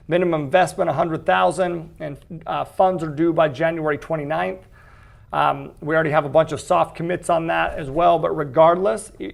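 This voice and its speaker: Higher-pitched man with a creaky voice, presenting. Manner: conversational, explanatory, checks in with "okay" and "right". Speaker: real estate investment presenter. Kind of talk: webinar-style investment pitch, informal scripted walkthrough.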